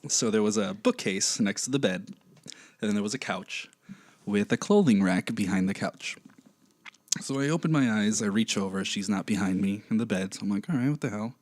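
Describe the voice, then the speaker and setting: A young man chatting as a podcast guest, telling a story with jokes and dropping to a hushed voice for suspense. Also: on the low side, animated, fairly quick, no echo. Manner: joking, drops to hushed voice for suspense. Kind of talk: casual storytelling chat.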